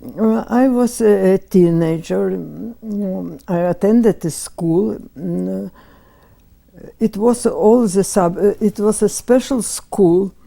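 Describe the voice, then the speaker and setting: Interview subject, woman in her 80s, Lithuanian accent, halting fillers, very dark tone. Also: medium pitch, gravelly. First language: Lithuanian and Russian. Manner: slow and hesitant, many pauses and fillers. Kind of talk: oral history interview. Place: museum interview room.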